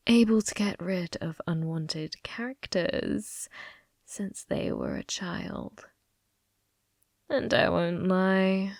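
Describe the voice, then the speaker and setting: A woman's voice: fairly low pitch, vocal fry, no room sound, studio quality. A woman playing a possessive young yandere in an ASMR roleplay, teasing and coolly threatening, often dropping into a whisper. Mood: sly, teasing.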